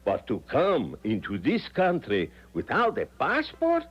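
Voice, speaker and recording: An actor playing a regretful, formal, apologetic bureaucratic official in a 1970s radio drama. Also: male voice, mid-range voice, huge pitch swings, measured pace, husky, soft background music, heavily acted. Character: regretful, bureaucratic Russian official sentencing a prisoner. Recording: dull lo-fi radio recording.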